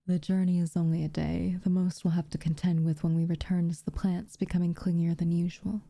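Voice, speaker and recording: A woman playing an aloof, teasing but secretly tender witch in a soft, intimate ASMR roleplay, with a breathy, half-whispered delivery and dramatic pauses. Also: lowish voice, level tone, dry recording, clean studio sound. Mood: scornful, reassuring.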